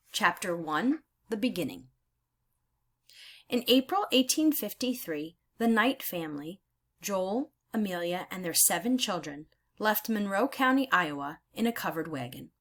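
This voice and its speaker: A woman reading aloud as a teacher, in a deliberate, explanatory manner with emphatic phrasing. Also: medium pitch, fairly bright tone. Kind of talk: instructional read-aloud of a children's history book.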